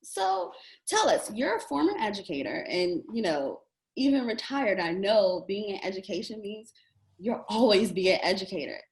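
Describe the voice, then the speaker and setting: A woman's voice: mid-range voice, very animated, moderate pace, roomy sound, rough recording. A woman hosting an online reading series interview, upbeat and welcoming as she introduces a guest. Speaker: club president and host.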